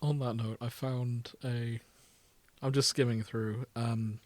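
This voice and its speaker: A man's voice: mid-range voice, little pitch movement, slow. A man co-hosting a podcast in casual chat, with a jokey manner. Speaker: podcast co-host.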